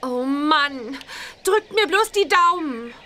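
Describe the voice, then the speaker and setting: A woman voicing a lively young witch girl in a children's cartoon game. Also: sits high, takes it slowly, slight background noise, full character voice. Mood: nervous. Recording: music behind the voice.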